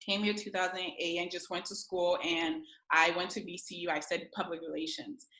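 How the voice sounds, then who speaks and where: Young woman from Ghana, chatty guest on a video call, bright and echoey. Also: lowish voice, level tone, brisk. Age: 20s. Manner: chatty, lots of fillers, slangy. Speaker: guest, publicist. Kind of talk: casual interview over video call.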